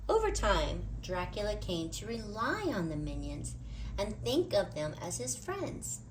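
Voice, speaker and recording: Female storybook reader, expressive and dramatic, wide pitch movement, measured pace. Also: slight background noise. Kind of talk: animated read-aloud story narration.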